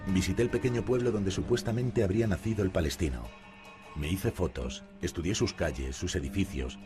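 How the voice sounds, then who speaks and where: Male narrator for a documentary, very low voice, steady first-person read over clear music. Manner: calm, measured first-person telling. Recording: music playing behind.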